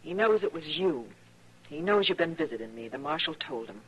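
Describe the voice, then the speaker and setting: An actress playing an anxious but defiant American frontier wife in a western radio drama. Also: female voice, low voice, expressive, brisk, husky, lo-fi sound. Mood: tense, defiant. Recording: noisy old radio recording.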